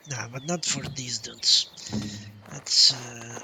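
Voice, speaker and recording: A man's voice: medium pitch, steady tone, takes it slowly. A man giving casual live commentary as he streams a game, offhand and half talking to himself. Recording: clear game noise behind voice. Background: game ambience and sound effects.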